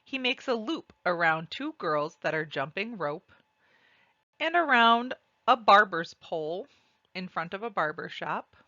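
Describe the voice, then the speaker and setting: A woman reading a children's storybook aloud and describing its pictures, with deliberate, expressive phrasing. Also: mid-range voice, no echo, muffled recording. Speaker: read-aloud narrator.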